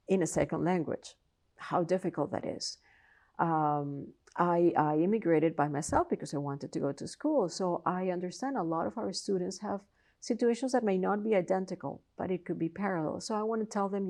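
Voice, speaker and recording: A woman professor from Colombia speaks with a Colombian Spanish accent. Her voice sits a little low and carries a gravelly edge. She explains things earnestly, often restarting her sentences. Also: darkish tone. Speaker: professor and researcher, interview guest. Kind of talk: interview answers.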